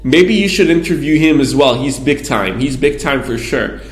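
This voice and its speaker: A man's voice: medium pitch, lively intonation, moderate pace. Rambling man in an echoey room. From Israel. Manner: rambling, storytelling with many asides. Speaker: guest, PR agency founder. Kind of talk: casual interview answer.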